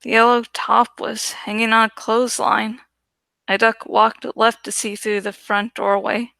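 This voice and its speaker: A woman reading a novel aloud as dramatic first-person narration, with deliberate, suspenseful phrasing. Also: a little high, flat delivery, creaky, sharp tone, no echo. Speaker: audiobook reader.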